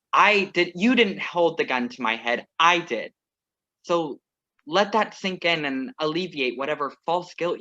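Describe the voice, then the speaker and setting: A man's voice: on the high side, bright, forceful tone, full character voice. A man reading the part of a composed, wry ex-lover who crashes a wedding, in a staged play reading over a video call. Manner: measured, wry, earnest. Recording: muffled video call audio.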